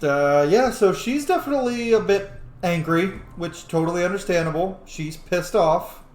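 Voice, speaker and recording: A man's voice: high voice, normal speed. Man doing game commentary in a roomy space. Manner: sweary, speaks character's thoughts aloud. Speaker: gaming video host. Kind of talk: casual roleplay game commentary.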